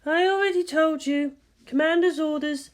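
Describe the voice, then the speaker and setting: An exasperated woman guardian from an anime-style story, acted by a woman reader. She speaks high and emphatically, insistent and bossy, yet friendly underneath. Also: moderate pace, natural voice.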